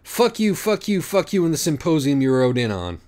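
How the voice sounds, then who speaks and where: A man's voice: on the high side, big pitch swings, moderate pace, darkish tone, pitched above the performer's own voice. A man voicing a defiant, irritable, foul-mouthed pony in an audiobook, blunt and scornful. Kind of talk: audiobook character voice.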